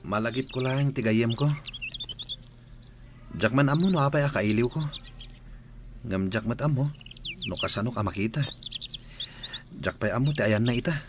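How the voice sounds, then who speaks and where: A man's voice: medium pitch, moderate pace, long pauses, background noise, close to an everyday voice. A man playing a wistful, loyal young student in a radio drama, earnest, with a Filipino accent.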